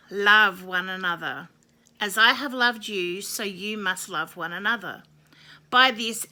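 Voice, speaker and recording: A woman talking slowly and unhurriedly, her tone strikingly bright and forward. Her voice is a little croaky today, and she meanders through her explanation with ums and quick self-corrections. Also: medium pitch. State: slightly croaky voice. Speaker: video host explaining a holiday. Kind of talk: casual explanatory talk to camera.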